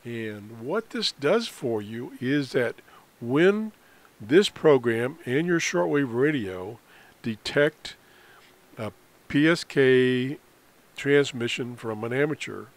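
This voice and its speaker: Slow-talking man giving a tutorial. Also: mid-range voice, expressive. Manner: halting, explanatory, pausing mid-sentence. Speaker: amateur radio hobbyist presenting a tutorial. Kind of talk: unscripted software screencast tutorial.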